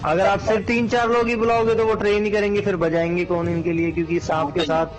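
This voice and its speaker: Guy w really high voice explaining and bargaining on a phone call, bit of music underneath. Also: little pitch movement, fast. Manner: persistent haggling, explanatory. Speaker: snake charmer troupe organiser. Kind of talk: casual phone negotiation.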